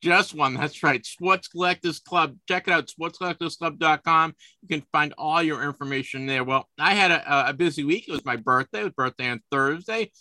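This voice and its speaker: A man in his fifties chats casually as a sports podcast host, and he often stumbles and repeats himself. His voice sits a little high and sounds bright and forceful. The recording is dry and close. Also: moderate pace, hardly any pauses, no echo. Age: 50s. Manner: chatty, repeats words and restarts often.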